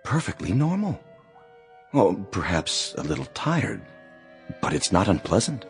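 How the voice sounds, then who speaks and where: A man's voice: lowish voice, very animated, unhurried, husky, faint music behind, full character voice. A man voicing a mild, courteous robot manservant in a radio drama. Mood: drowsy. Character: robot manservant, male, calm and obliging.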